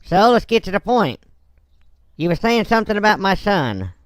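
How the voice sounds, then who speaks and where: A man's voice: sits high, sing-song, dry recording. A man voicing a folksy, blunt but courteous businessman and father in a game roleplay. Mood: businesslike, courteous. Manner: folksy, blunt, drawn-out hesitations. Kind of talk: Minecraft roleplay voice acting.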